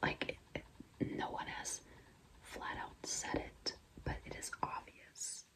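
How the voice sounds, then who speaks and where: A young woman whispering in a breathy, mostly unvoiced voice, chatting confidingly and casually to viewers as a vlogger telling a personal story. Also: slow, poor audio. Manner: confiding, conversational, frequent "like" and "you know".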